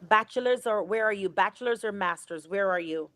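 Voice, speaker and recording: A woman's voice: medium pitch, a little fast, dry recording, clean studio sound. A woman giving immigration advice on a live call-in show, direct and encouraging. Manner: warm, emphatic, encouraging.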